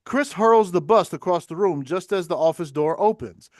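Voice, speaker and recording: Higher-voiced man reading stage directions. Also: moderate pace, darkish tone, no echo, studio quality. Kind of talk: scripted narration at a table read.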